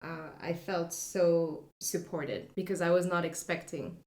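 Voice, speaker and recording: A woman's voice: on the low side, steady tone, unhurried. French-accented woman in an echoey room. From France. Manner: soft, reflective, many filler sounds. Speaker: podcast guest. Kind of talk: heartfelt personal storytelling.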